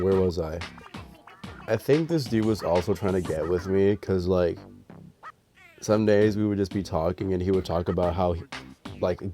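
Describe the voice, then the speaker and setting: A man's voice: sits low, mellow. Young man with a nasal, sick voice. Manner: rambling, profane, self-deprecating storytelling. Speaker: storytime vlogger. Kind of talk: casual storytime vlog. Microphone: dedicated voice mic.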